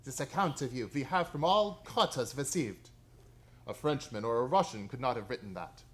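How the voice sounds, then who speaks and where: An actor in a radio play playing a shrewd Victorian detective, brisk, precise and courteous with a superior air. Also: male voice, medium pitch, animated, a bit bright, husky. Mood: keen, instructive.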